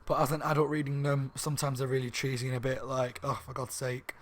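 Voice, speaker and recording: Man, slightly hoarse, casual book review, rambling, filler-heavy, medium pitch. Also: completely flat delivery, fast. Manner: rambling, lots of ums and restarts. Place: room at home by a window on a main street.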